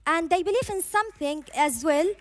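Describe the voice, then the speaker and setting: Panel guest, woman from Iran, English, earnest and high-pitched, pauses on fillers. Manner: earnest, many "uh" and "you know" fillers. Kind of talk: panel discussion.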